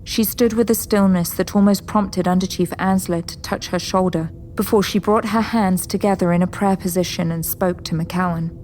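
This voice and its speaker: A woman giving composed, measured scripted narration for an audio drama. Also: on the low side, fairly even intonation, darkish tone, a little hiss or hum, rough recording.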